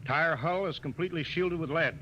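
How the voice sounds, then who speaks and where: An actor playing a commanding, world-weary male spaceship captain in a 1950s radio drama, brisk and authoritative in manner. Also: a little high, natural voice. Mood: frank, assured. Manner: brisk, authoritative, clipped orders. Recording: noisy, dull vintage 1950 radio recording.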